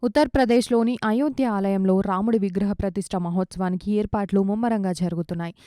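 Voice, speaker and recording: Smooth, mellow woman reading news. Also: mid-range voice, steady tone, fast, soft background music. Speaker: news reader. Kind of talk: scripted news narration.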